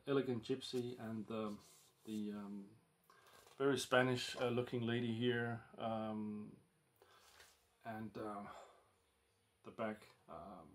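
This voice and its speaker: A man talking casually to the camera at home, hesitant and full of ums and restarts. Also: fairly low pitch. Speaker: record collector vlogger. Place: home room lit by a lamp.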